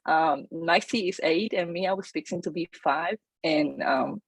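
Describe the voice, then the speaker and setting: A woman, her voice sitting on the low side. She talks energetically, full of ums and restarts, in a dry room. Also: a little slow, slightly rough, no echo, poor audio. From Chile. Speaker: real estate agent, guest. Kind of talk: casual interview storytelling.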